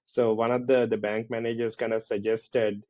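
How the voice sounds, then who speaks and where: A man with a Sri Lankan accent talking casually as a podcast host, halting and repeating himself, often checking in with a tag question. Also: fairly low pitch, little pitch movement, mellow, dry recording. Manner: halting, repetitive, often tags questions with "right". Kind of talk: casual conversational podcast talk. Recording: dull lo-fi audio.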